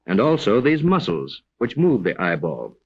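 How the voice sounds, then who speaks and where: Old 1940s science film guy narrating, formal and measured, teachy, voice moves up and down a lot. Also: lowish voice, huge pitch swings, slight background noise. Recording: vintage 1940s film soundtrack.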